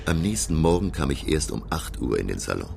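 An actor playing a learned professor who narrates his undersea adventure in a radio play, deliberate and full of wonder. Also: extremely low pitch, sing-song, creaky, a little mellow, slight background noise, full character voice. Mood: solemn, intent. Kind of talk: dramatic first-person radio play narration.

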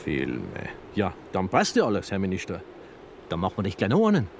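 An actor in a German radio drama playing a proud, middle-aged mountaineer and filmmaker who tells stories with theatrical relish. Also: male voice, sits low, wildly swooping pitch, brisk, vocal fry, slight background noise, heavily acted. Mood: easygoing.

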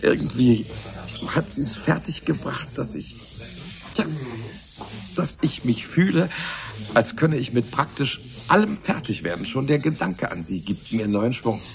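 An actor playing a complacent, stubbornly optimistic scientist in a radio drama, musing and rambling chattily. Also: male voice, medium pitch, lively intonation, measured pace, creaky, crowd noise. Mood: pleased. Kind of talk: German radio drama acting.

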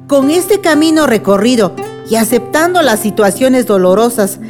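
An actress playing a resolute village woman speaking firmly and deliberately to an assembly in a radio drama. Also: high-pitched, a little mellow. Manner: firm, deliberate oratory. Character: Doña Juana, resolute village woman, guardian of land. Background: music.

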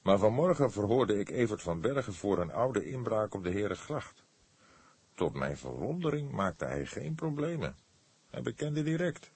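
A man voicing a patient, seasoned senior police detective in an audiobook, speaking in a measured, earnest way. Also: on the low side, very animated, darkish tone, slightly rough, no echo, voice pushed up, full character voice. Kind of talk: audiobook character dialogue.

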